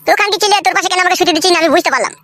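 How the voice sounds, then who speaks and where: A woman's voice: extremely high pitch, rapid-fire, fairly bright tone, no room sound, clean studio sound. A woman voicing a henpecked, whining, foul-mouthed young husband in a comedy cartoon, with a rural West Bengal accent. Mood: cross, insolent. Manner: whining, complaining, coarse-tongued.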